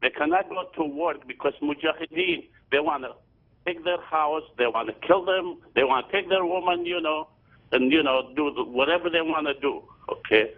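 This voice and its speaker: An older man from Syria calling in over a tinny phone line. He speaks broken English with insistent repetition, in a fairly high voice for a man. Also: moderate pace. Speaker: caller. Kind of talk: call-in phone comment. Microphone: telephone.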